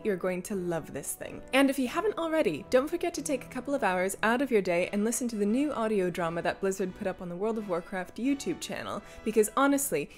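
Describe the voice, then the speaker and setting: A woman co-hosting a gaming news show, reading scripted comic tech news in a wry, emphatic way. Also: a little high.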